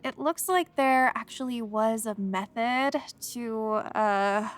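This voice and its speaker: Higher-pitched young female reporter, sarcastic, teasing, curious, comedy audio drama. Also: slow pace. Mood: intrigued.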